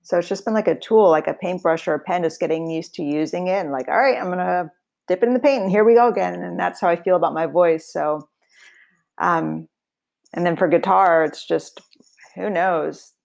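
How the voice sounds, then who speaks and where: Woman, low voice, gravelly, reflective, casual talk full of fillers. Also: normal speed, a little mellow. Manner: reflective, many fillers like "like" and "you know". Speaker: singer and guitarist. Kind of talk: casual interview chat.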